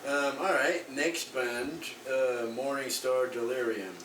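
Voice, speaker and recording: A man's voice: mid-range voice, fairly even intonation, slow. A man hosting a podcast interview, asking questions hesitantly with many fillers. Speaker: podcast host. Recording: echoey room, distant mic, noisy.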